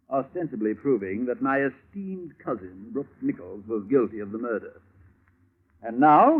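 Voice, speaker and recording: An actor playing a villainous, pompous British chief inspector in an old-time radio drama, with formal, wordy, sardonic speech. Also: male voice, medium pitch, breathy edge. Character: suave, villainous police chief inspector, coldly smug. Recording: thin, phone-like vintage radio recording.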